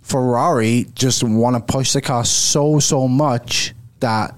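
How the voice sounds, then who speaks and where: A man, co-hosting a casual sports podcast, jokey and quick to laugh. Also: mid-range voice. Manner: lively, laughs a lot, jokey. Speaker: podcast co-host. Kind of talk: casual sports betting chat.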